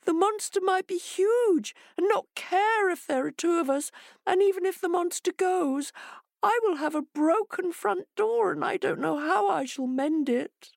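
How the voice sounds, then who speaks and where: A woman's voice: very high-pitched, very animated, unhurried, vocal fry, few pauses, a bit bright, no room sound, clean studio sound, voice pushed up, exaggerated voice. A woman voicing a timid female hare in a storybook tale, plaintive, wailing and easily frightened. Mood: wailing, distressed.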